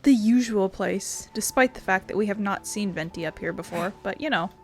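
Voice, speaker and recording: Gamer woman, normal pitch, chatty offhand lets play commentary, bit of game music in the back. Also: moderate pace. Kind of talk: casual let's play commentary.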